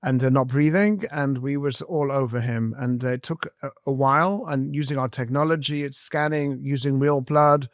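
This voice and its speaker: A man's voice: medium pitch, moderate pace, few pauses, dry recording, clean studio sound. A man in his fifties with a mix of English and South African accent, telling his story in an interview, earnest and full of fillers. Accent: mixed English and South African. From South Africa and England. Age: 50s. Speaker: guest, army medic and educator.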